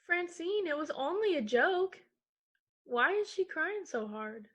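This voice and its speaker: A woman's voice: very high voice, very animated, unhurried, fairly bright tone, full character voice. An actress playing an anxious, cautious young woman in a 1940s-style radio play. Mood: puzzled.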